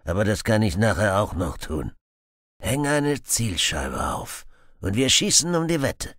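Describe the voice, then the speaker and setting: A man's voice: fairly low pitch, very animated, a little slow, a bit bright, dry recording, studio quality, heavily acted. A man voicing a boastful, murderous outlaw in an audiobook, grim, menacing and full of contempt. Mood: grim, threatening. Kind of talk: audiobook character acting.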